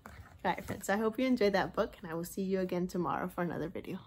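A woman's voice: lowish voice, fast talker, a little mellow, a little hiss or hum. A young woman, a preschool teacher, reads a picture book aloud in a sing-song way for young children. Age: young adult.

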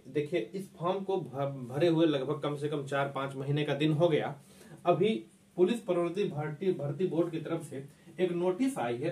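Echoey, higher-pitched man teaching. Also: warm tone. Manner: emphatic, repeats key phrases for students. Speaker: exam coaching teacher. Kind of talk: informal whiteboard lecture to camera. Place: small indoor classroom at a whiteboard. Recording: echoey room, distant microphone.

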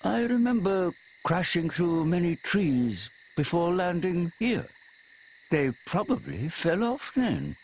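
A man's voice: high-pitched, wildly swooping pitch, slow pace, slight background noise, exaggerated voice, poor audio. An actor playing an old titan turned tiny dragon, a pompous, wordy, fatherly grandpa in a video game. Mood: musing. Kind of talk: video game cutscene acting. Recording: music under the voice.

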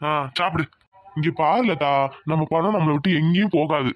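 A woman playing a gentle village husband. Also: sits low, moderate pace, dry recording, dull audio, natural voice. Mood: reassuring. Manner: soothing, reassuring.

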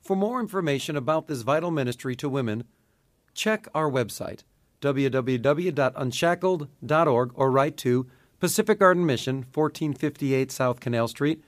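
A man speaks as a mission president in a scripted radio appeal. His delivery is measured and explanatory, in a mid-pitched voice with a very dry studio sound. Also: no echo.

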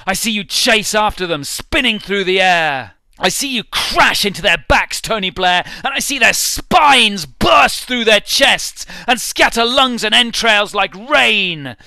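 A man giving a theatrical, intense and deliberate dramatic reading of satirical prose. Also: high voice, expressive, bright, forceful tone, no echo. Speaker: amateur reader.

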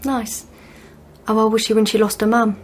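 A woman's voice: a little high, little pitch movement, normal speed, a little hiss or hum, lightly acted. An actress playing a hard-bitten, cynical young shop girl with a blunt manner, in a radio drama. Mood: gentle. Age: young adult.

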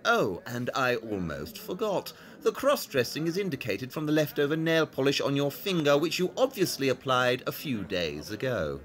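A man playing an intense, clever detective in an audio drama, speaking with theatrical, deliberate self-assurance. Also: medium pitch, big pitch swings, a bit bright. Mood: smug, polite.

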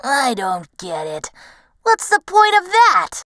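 A woman's voice: high voice, slow, pitched above the performer's own voice, exaggerated voice. A woman voicing a young male fox in a storybook, earnest and naive with a confident streak. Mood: skeptical. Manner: earnest, puzzled, excitable.

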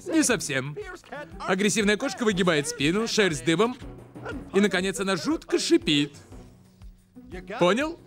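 A man's voice: high-pitched, sing-song, a little slow, long pauses, over music. An actor voicing a bossy male owl who lectures theatrically like a nature-show presenter in a cartoon dub. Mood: directing. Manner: didactic, theatrical.

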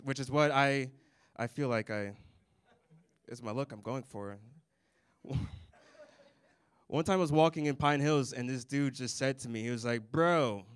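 A young man delivers a stand-up set into a handheld microphone in a comedy club. His voice sits a little high and comes through smooth and clear. The sound is close and dry, and he keeps his delivery deadpan. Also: no echo. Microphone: handheld mic.

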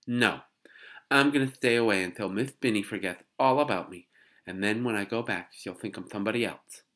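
A man's voice: fairly low pitch, a little fast, a bit bright, voice pushed up, close to an everyday voice. A man voicing a stubborn, indignant five-year-old girl in a children's storybook, defiant and protesting.

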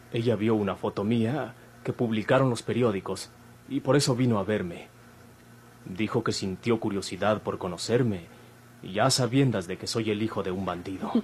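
A Mexican actor playing an earnest young hospital patient in a classic radio soap, polite, measured and guarded. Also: male voice, on the low side, natural voice. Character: earnest young male patient, a bandit's son. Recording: old noisy radio drama recording, hum.

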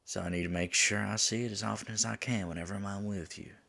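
A man playing a teasing, flirtatious demon lover in an intimate ASMR roleplay, with a drawling manner that drops into breathy murmurs. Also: fairly low pitch, steady tone, fast talker, sharp tone, full character voice. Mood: playful, flirtatious. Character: teasing, flirtatious male demon lover, smug yet smitten.

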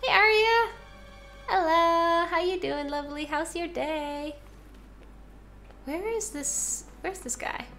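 A woman's voice: very high-pitched, slow, long pauses, pushed and bright, faint music behind. A young woman streamer, a little sick and congested, chatting casually and reading out her chat. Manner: bubbly, chatty, reads chat aloud.

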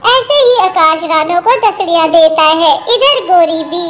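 Very high-pitched woman narrating a cartoon tale. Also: lively intonation, unhurried, a bit bright, slight background noise. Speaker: story narrator.

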